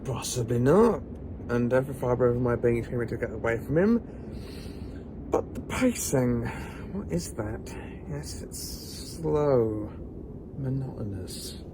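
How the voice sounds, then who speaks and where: A man's voice: mid-range voice, lively intonation, unhurried, background noise. A man playing an eccentric, curious time-travelling professor in an audio drama, wry and musing. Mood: tense, curious. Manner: wry, musing, British idiom.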